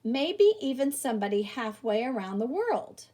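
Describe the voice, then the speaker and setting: A woman's voice: on the high side, big pitch swings, normal speed, warm tone. A woman reading a children's book aloud expressively and emphatically, speaking directly to young listeners. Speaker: storybook reader.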